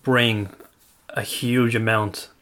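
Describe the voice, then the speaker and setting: A man's voice: mid-range voice, level tone, slow, a bit bright. A man with an Irish accent hosting a casual podcast, chatting easily, often laughing and correcting himself mid-sentence. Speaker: podcast host. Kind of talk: casual chat.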